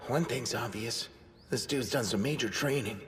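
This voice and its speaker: A man voicing a timid, excitable teenage boy in an English anime dub, nervous and prone to stammering. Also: medium pitch, normal speed, slight background noise, natural voice. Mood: chatty. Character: timid, excitable teen boy, the lone sumo club member. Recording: dub with music score behind.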